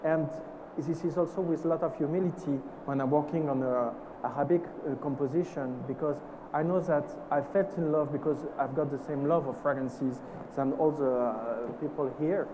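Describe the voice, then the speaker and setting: A man with a French accent speaking on a conference panel, halting and searching for his words in English. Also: on the high side, background noise. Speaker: perfumer. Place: conference stage.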